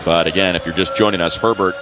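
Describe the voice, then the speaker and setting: A man's voice: deep voice, fairly even intonation, quick pace, background noise. A man doing live football play-by-play on the radio. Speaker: play-by-play announcer. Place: open stadium broadcast booth. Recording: loud stadium ambience behind. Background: loud stadium ambience.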